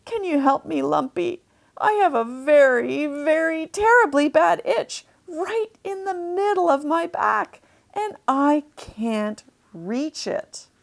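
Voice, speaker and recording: A gentle, itchy young manatee from a children's storybook , acted out by a woman. He pleads in a high, slightly creaky voice. His words are drawn out and emphatic, full of plaintive need. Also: lively intonation, slow pace, full character voice. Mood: pleading.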